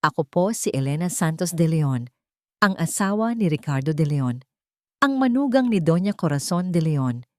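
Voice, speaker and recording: A woman's voice: sits low, sing-song, moderate pace, darkish tone, no echo, studio quality. Woman voicing resolute wronged wife, formal and emphatic. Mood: formal resolve. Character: wronged young wife, resolute, publicly exposing her in-laws.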